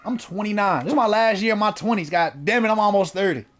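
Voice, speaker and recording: A man's voice: sits high, quick pace, fairly bright tone. A man in his late twenties hosting a casual interview, talking loosely and profanely, joking at himself. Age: 20s. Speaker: host.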